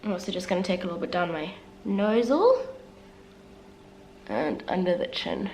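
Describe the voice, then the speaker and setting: A woman's voice: fairly low pitch, expressive, moderate pace, a little mellow, slight background noise. A young woman vlogger chatting casually and candidly through a makeup tutorial, sounding a little congested as she gets over the flu. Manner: chatty, candid, self-deprecating asides. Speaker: beauty vlogger.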